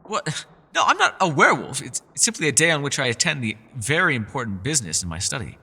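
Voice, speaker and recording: A man's voice: mid-range voice, big pitch swings, quick pace, creaky, bright, forceful tone, slightly rough, in a large hall, exaggerated voice. A man playing a mysterious, scholarly elf in a fantasy roleplay, with a refined, theatrical and teasing manner. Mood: indignant, defensive. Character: mysterious, scholarly male elf, playful yet guarded. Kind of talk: fantasy ASMR roleplay, scripted character acting.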